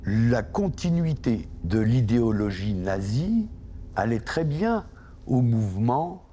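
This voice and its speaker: A man explaining in a measured interview manner, lifting his voice on stressed words. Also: mid-range voice, very animated, background noise. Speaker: documentary interviewee. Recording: loud music bed behind the voice.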